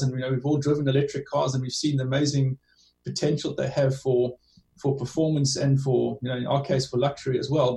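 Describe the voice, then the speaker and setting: A man with a South African-tinged accent speaks in a gravelly, mellow voice, measured and full of restarted phrases. He sounds set back from the mic in an echoey room. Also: medium pitch, far from the mic. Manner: measured, restarts phrases with many "you know"s. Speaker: motorsport team director, guest. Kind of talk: remote interview answers.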